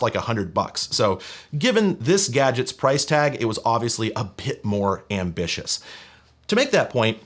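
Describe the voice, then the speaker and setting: A man presenting as a video host, explaining hardware in a casual, wry and emphatic way with colloquial phrasing. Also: mid-range voice. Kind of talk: casual explanatory hands-on product teardown.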